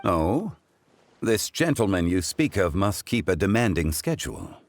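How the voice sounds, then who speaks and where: An actor playing a composed, refined gentleman in a game dub, speaking formally and courteously in lofty phrases. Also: low voice, sing-song, unhurried, exaggerated voice. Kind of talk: English game dub voice acting.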